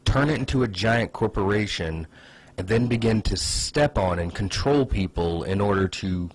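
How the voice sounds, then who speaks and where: A man hosting a casual comedic commentary video, pitching ideas in a wry, sarcastic, opinionated way. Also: slightly rough.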